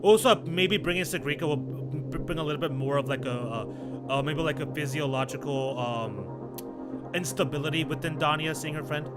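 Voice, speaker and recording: A man's voice: fairly high pitch, moderate pace, over loud music. A man doing casual livestream commentary on a game, speaking in slang, stammering and often repeating himself. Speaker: game streamer.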